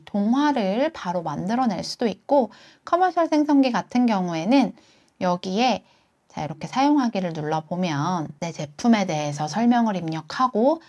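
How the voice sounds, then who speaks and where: Woman, average pitch, tutorial host, step-by-step software explanation. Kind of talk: software tutorial, screen walkthrough.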